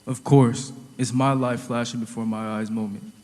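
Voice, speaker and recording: A man's voice: medium pitch, level tone, moderate pace, lightly acted. Wry teenage boy narrator, acted by a man, reverberant. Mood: easygoing. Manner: wry, conversational storytelling. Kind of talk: audio drama first-person narration.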